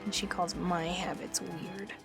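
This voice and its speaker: A woman's voice: fairly low pitch, level tone, measured pace, faint music behind. A woman voicing a timid, anxious teenage changeling princess in a fan audio drama, a hesitant little sister who protests and mutters. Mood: muttering, exasperated.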